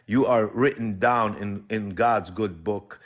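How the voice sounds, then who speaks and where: A man's voice: fairly low pitch, takes it slowly. Emphatic, impassioned man calling in. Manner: emphatic, repeats phrases for weight. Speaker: guest caller on a live stream. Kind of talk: impassioned political talk.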